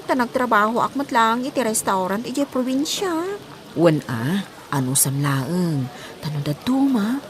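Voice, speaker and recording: A woman with an Ilocano Filipino accent playing an earnest young provincial woman in a drama, speaking plainly. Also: fairly low pitch. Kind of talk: amateur drama dialogue.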